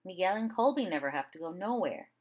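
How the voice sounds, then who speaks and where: A woman's voice: fairly low pitch, lively intonation, fast, highs cut off. A woman voicing a restless young boy who asks plaintive, complaining questions in folksy grammar for a storybook.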